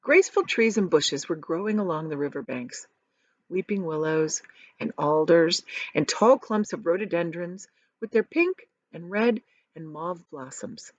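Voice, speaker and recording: A woman's voice: on the low side, lively intonation, unhurried, no echo, lo-fi sound. A woman teacher reading a story aloud to children, stressing descriptive words and explaining things in casual asides. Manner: explanatory, addresses young listeners, emphatic on descriptive words.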